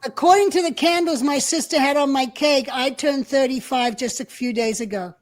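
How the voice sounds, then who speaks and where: A woman's voice: sits high, moderate pace. A woman co-hosting a casual interview, filling in background details for listeners over a video call from a room at home. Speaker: co-host. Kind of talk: casual chat.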